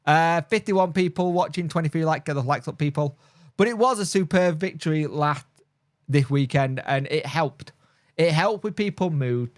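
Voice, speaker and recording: A man's voice: fairly high pitch, normal speed, no echo, clean studio sound. Tired man chatting on a stream. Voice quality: mild speech impediment. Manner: rambling, blunt, frequent fillers and restarts. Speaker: football fan channel host. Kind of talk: casual live-stream chat reading viewer comments.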